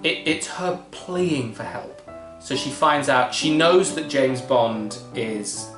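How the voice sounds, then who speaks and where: A man's voice: medium pitch, animated, takes it slowly, echoey room, music in the background. A man co-hosting a casual film podcast, talking wryly and quoting lines from the movie. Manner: wry, conversational, quotes film lines. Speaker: podcast co-host.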